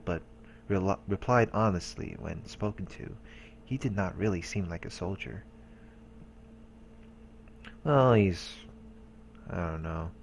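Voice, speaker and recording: A man's voice: low voice, slight background noise. A man hosting a let's play, casually reading a visual novel's story text aloud. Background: game music.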